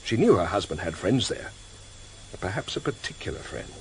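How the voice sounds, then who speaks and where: Terse police detective, radio play, lowish voice with lots of rise and fall, faint hiss. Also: male voice, big pitch swings, low-quality recording. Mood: brooding. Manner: deliberate, probing, terse. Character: dogged, plain-speaking senior detective on his last case. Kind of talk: radio drama acting. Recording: hissy vintage radio drama tape.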